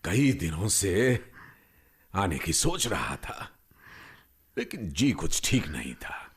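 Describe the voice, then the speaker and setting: A man playing a kindly, revered elderly village scholar in a radio drama, affectionate and unhurried, with a husky voice. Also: low-pitched, big pitch swings, exaggerated voice. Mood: warm, gentle. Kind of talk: Hindi radio drama acting.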